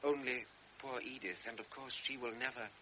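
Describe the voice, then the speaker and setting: An actor voicing a young lieutenant's brooding inner monologue of guilt in a radio drama. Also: male voice, medium pitch, steady tone, brisk, natural voice. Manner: inward, brooding first-person monologue. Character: guilt-ridden young lieutenant recalling his shame. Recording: dull old radio broadcast, faint hiss.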